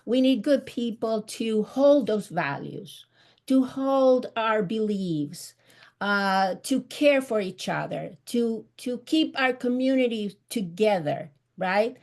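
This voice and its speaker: Woman, Argentine Spanish accent, middle-aged, slow speaker, earnest, hesitant phrasing, author in a live interview. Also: a little high, lively intonation, a little mellow. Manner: earnest, searching for words. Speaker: author guest. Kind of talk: live video chat interview.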